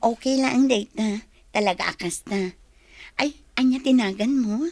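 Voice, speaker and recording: A woman's voice: fairly high pitch, unhurried, darkish tone, no room sound. A woman acting in a radio drama as a friendly young schoolteacher, effusive and grateful in manner.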